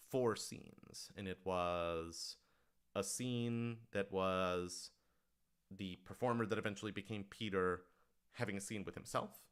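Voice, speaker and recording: Clear, low-voiced man thinking aloud. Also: fairly bright tone. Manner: rambling, thinking aloud, frequent hedging asides. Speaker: writer-director answering audience questions. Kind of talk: casual livestream Q&A chat.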